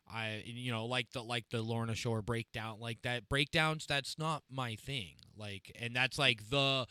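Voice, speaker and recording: A man's voice: mid-range voice, bright, forceful tone, clear, resonant tone, no room sound. A man hosting a casual podcast, chatty and full of fillers, reading chat comments aloud. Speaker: podcast host.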